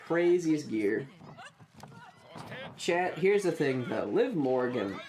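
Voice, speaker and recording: A man streaming casual live reaction commentary, talking in an excitable, slangy and profane way. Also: fairly high pitch, takes it slowly.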